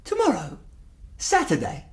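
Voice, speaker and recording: A man's voice: very high voice, huge pitch swings, unhurried, full character voice. An actor playing an earnest, uneasy young male clerk in a period radio drama, eagerly and deferentially telling his story. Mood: polite, hesitant.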